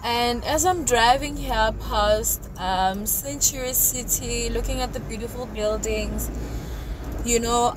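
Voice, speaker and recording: A woman in her late twenties from South Africa, vlogging from the driver's seat about gratitude in a reflective, chatty way. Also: on the high side. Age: 20s. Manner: reflective, grateful, chatty with frequent "you know". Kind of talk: casual vlog talk to camera. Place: inside a car while driving. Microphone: phone camera mounted on the dashboard. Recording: road and engine noise in car.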